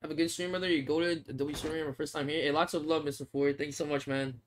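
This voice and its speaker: Young guy streaming, kinda high voice, chill and rambly, game noise going behind him. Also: fairly even intonation, fast. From Philippines. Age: young adult. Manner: laid-back, rambling, reads chat aloud, swears casually. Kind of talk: casual livestream chat while playing. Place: small room indoors. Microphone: headset mic. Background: game sounds and clicks.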